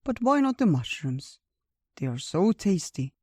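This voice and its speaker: A storyteller voicing a wise, gentle old male bear in a theatrical, drawn-out way for a children's tale. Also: mid-range voice, sing-song, no room sound, studio quality, pitched above the performer's own voice. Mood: hospitable, teasing. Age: older.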